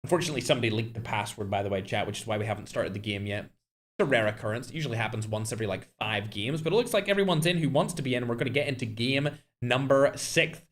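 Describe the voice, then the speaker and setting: A man's voice: mid-range voice, quick pace. A young man hosting and casting a mobile game tournament on a live stream, chatting straight to his viewers. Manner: chatty, talks straight to chat, animated bursts. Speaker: tournament host and caster. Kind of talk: live-stream esports commentary.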